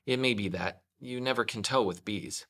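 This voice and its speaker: A man's voice: mid-range voice, normal speed, pushed and bright. A man voicing an earnest, solemn, slightly foolish toy bear in a children's storybook. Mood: conspiratorial. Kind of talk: children's storybook read-aloud.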